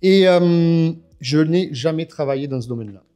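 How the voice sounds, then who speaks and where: Give me a man in his fifties with a warm, relaxed tone, hesitating a lot as he tells his story. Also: fairly high pitch, moderate pace, smooth, faint music behind. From France. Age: 50s. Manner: hesitant, many fillers and restarts. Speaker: guest. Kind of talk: casual interview storytelling.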